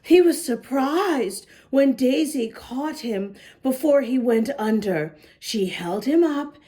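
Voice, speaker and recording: A woman reading a picture book aloud to young children, expressive and instructive, with asides spoken straight to the little listeners. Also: high-pitched. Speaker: children's story reader.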